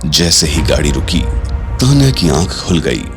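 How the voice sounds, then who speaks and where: A man's voice: rumbling low, big pitch swings, measured pace, exaggerated voice. A man narrating a scripted audio drama in a suspenseful storytelling style, with dramatic delivery. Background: music.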